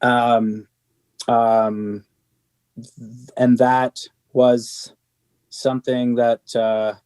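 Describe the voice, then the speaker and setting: A man's voice: mid-range voice, level tone, very slow, a little mellow, smooth, poor audio. Earnest rambling man in interview. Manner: earnest, rambling with restarts and fillers. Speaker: band singer. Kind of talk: candid interview conversation.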